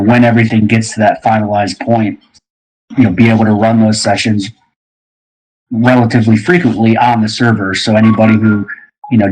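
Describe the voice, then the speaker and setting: A man's voice: on the low side, a little mellow, rough recording. A man casually explaining plans as a show host, in an easygoing, hesitant way. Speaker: game creator and show host.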